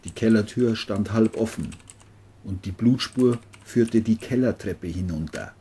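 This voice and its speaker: A man's voice: lowish voice, measured pace, dark tone, slight background noise. A man narrating a scripted crime story as an audiobook-style reading.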